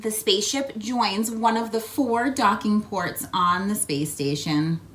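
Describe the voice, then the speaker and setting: A woman reading a children's picture book aloud, expressively, with coaxing questions and asides to young listeners. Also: on the high side. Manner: expressive storytelling, coaxing questions, acted-out sound words. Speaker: read-aloud host.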